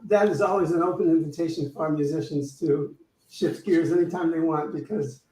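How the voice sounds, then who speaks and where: A man with a fairly high, somewhat dark voice hosts an informal church service. He talks in a halting, conversational way, picked up from a distance in a roomy space. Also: fairly even intonation. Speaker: service host, minister. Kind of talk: informal church service announcements and offering talk.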